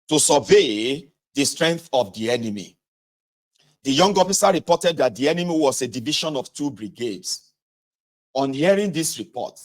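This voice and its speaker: A man with a Nigerian accent reading a book aloud and adding conversational asides, speaking directly to listeners and sometimes correcting himself. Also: medium pitch. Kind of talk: read-aloud narration with casual asides.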